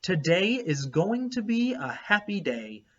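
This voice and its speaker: An upbeat, patient woman schoolteacher from a children's storybook, played by a man. The delivery is instructive and swings in pitch, with a husky tone. The recording is slightly dull. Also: very animated, full character voice. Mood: upbeat, encouraging. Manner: deliberate, instructive. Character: upbeat, patient woman third-grade teacher.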